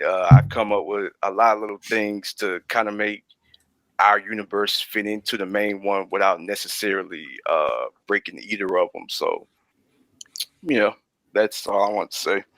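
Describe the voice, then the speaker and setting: A man's voice: fairly low pitch, normal speed, pushed and bright, no room sound. A man speaking as an interview guest, explaining his creative work in a casual, rambling, hesitant way.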